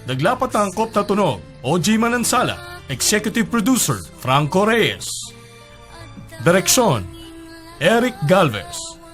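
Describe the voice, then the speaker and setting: A woman's voice: mid-range voice, sing-song, a little slow, long pauses, fairly bright tone, faint music behind. A woman announcer with a Filipino accent reading scripted radio drama credits.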